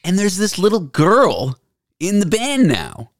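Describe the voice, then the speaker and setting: Playful, animated male podcast host. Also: high-pitched, unhurried, no echo, studio quality. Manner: playful, goofy, jokey. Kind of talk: casual chat.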